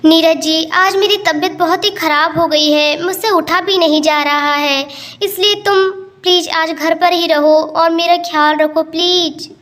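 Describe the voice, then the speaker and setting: A woman's voice: extremely high pitch, steady tone, measured pace, a bit bright, voice pushed up. A woman voicing a boastful, condescending rich elder sister in a cartoon tale, with a patronizing, emphatic manner. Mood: pleading.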